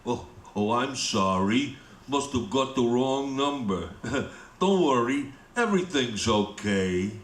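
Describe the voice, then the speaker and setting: A man's voice: mid-range voice, animated, slow. A man playing a sinister intruder who sounds falsely casual and reassuring in a radio suspense play. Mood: feigned calm. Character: sinister male intruder feigning casual innocence. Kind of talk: radio suspense drama acting. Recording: roomy echo.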